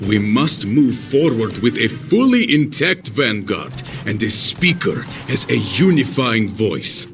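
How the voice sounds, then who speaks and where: A man playing a noble, earnest warrior peacemaker in an audio drama, speaking in a measured, solemn, oratorical way. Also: lowish voice, big pitch swings, over music, heavily acted. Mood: earnest, pleading.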